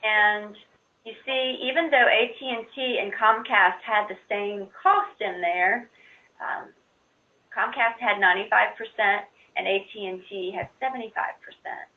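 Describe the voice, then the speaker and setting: Woman, conference call presenter, informal instruction, halting explanatory delivery, muffled phone-grade sound. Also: medium pitch. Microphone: conference call line. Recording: muffled narrow-band conference phone line.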